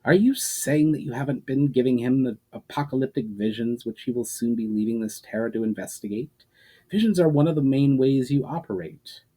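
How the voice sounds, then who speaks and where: A man's voice: medium pitch, brisk, dark tone, natural voice. A proud woman of magic from a fantasy tale, as a male reader puts her on. She speaks formally and sharply, with a defiant, challenging edge. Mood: cool, needling. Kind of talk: dramatic fantasy audiobook reading.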